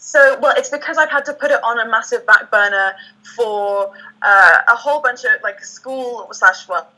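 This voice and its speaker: A woman's voice: a little high, moderate pace, sharp tone, rough recording. A young woman from England with an English accent, chatting as a guest on a laptop video call, hesitant with many fillers and restarts. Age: young adult. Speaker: guest, language learner and French teacher. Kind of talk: casual video call chat.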